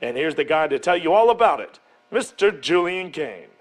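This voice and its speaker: A man's voice: a little high, sing-song, normal speed. A man playing an upbeat, polished television wrestling announcer, introducing a show in a showy 1980s broadcast style. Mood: upbeat, welcoming.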